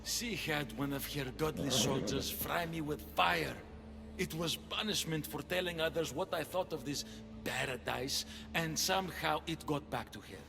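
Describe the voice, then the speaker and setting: An actor playing a gentle, bitter blinded man in a video game, plaintive and emotional. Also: a little high, moderate pace, gravelly. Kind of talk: video game cutscene acting. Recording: long reverberant echo.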